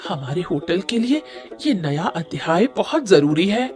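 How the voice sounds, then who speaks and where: A woman's voice: fairly low pitch, very animated, unhurried, darkish tone. A woman playing a helpful, determined village cook in a Hindi cartoon story, earnest and encouraging. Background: background music.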